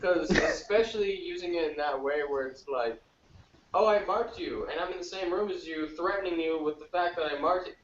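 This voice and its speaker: A man's voice: a little high, normal speed. Blunt man, distant in an echoey room. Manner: blunt, dismissive. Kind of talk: casual sci-fi TV review chat. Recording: roomy, distant, dull, noisy audio.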